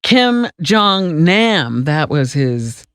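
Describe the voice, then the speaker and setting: A woman working as a radio co-host, reading the news deliberately and adding wry, emphatic asides in casual banter. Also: deep voice, very animated, a bit bright, clear, resonant tone, dry recording, clean studio sound.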